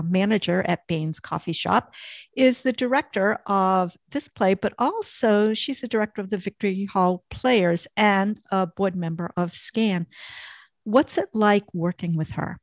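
A woman's voice: mid-range voice, a little slow, dry recording. A woman hosting a podcast, asking questions in a casual, conversational interview style.

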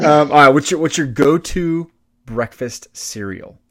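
A man hosting a podcast, asking quick questions and reacting with upbeat interjections. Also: a little high. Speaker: podcast host.

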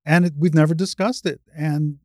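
Older woman with a low-set voice, debating. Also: fairly even intonation, moderate pace, dark tone, no echo, clean studio sound. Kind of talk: spirited debate in conversation.

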